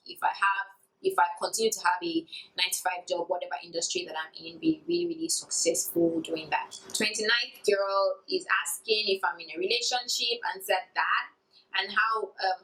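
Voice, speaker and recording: A woman's voice: medium pitch, sharp tone, rough recording. A woman in her twenties, a beauty YouTuber, answering viewer questions in a candid, chatty vlog with a sing-song lilt. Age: 20s. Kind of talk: casual Q&A vlog.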